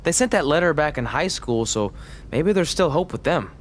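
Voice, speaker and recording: Game cutscene role: earnest, goofy male best pal, supportive, wry, very lively intonation, played by an actor. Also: a little high, normal speed, a little hiss or hum, natural voice. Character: earnest, goofy, supportive young wrestler sidekick. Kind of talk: video game cutscene acting.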